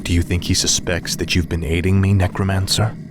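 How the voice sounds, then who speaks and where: An actor playing a cold, arrogant young prince turned death knight in a video game, menacing and imperious. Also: male voice, low voice, little pitch movement, a little fast, heavy background noise, natural voice. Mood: curt, scheming. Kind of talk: video game cutscene acting.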